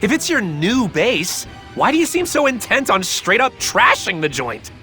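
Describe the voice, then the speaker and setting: An actor playing a brash, exasperated young hero in an anime video game, blunt, scrappy and informal. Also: male voice, high-pitched, sing-song, moderate pace, sharp tone, soft background music, full character voice. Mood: scornful.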